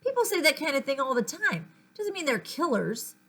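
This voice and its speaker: A woman's voice: sits high, sing-song, moderate pace, a bit bright, pitched above the performer's own voice, exaggerated voice. A woman voicing a dismissive, joking dad in a children's storybook, with a breezy manner. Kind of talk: storybook character voice.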